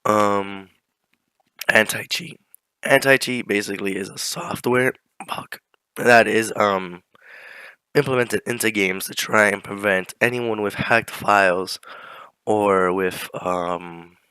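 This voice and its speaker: Hoarse teen boy with a flu presenting slides for class; low, clear voice, keeps clearing his throat. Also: steady tone, takes it slowly, bright, forceful tone, no room sound, low-quality recording. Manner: casual, many fillers, clears throat often. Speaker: student presenter.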